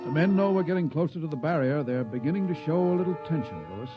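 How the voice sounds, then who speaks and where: An actor playing a wry, grumbling ship's doctor in a 1950s radio drama. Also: male voice, fairly high pitch, expressive, quick pace, close to an everyday voice. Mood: matter-of-fact, dry. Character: dry, grumbling male ship's doctor, wryly pessimistic. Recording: dull vintage radio recording, music behind.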